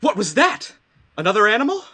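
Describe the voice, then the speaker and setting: A woman playing a naive, eager, bumbling living scarecrow in a live fantasy table read, with halting delivery. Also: mid-range voice, big pitch swings, fairly bright tone.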